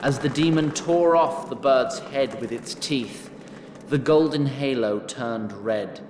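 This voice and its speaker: A man narrating a scripted fantasy story in a measured, dramatic manner with an occasional wry touch. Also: mid-range voice, darkish tone, reverberant, big-room sound, a little hiss or hum.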